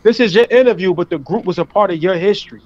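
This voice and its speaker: A man's voice: high-pitched, fast, dull audio. A man hosting an online interview show over a video call, talking loosely with jokes and swearing. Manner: loose, joking, profane banter. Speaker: host. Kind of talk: casual interview chat. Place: room at home indoors. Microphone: webcam on a video call.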